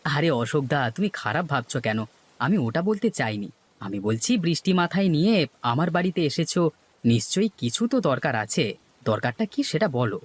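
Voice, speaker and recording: A man's voice: a little high, big pitch swings, moderate pace, slightly rough. A man voicing a worried, suspicious poor village fisherman and husband in a cartoon. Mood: puzzled. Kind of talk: Bengali cartoon voice acting.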